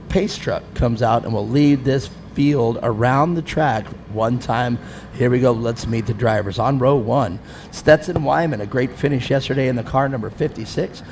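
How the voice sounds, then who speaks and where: Fifties guy doing race announcing, chatty, jokes on himself, bit of background noise. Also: mid-range voice. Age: 50s. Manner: chatty, self-deprecating, laughs often. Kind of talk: live race commentary. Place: grandstand at an outdoor race track.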